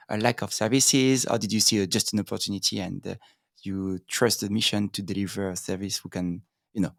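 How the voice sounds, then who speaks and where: A man's voice: fairly low pitch, vocal fry, no room sound, studio quality. A man with a French accent hosting a fintech interview podcast, talking in English with frequent fillers and restarts. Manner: fast, hesitant, many fillers and restarts. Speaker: podcast host.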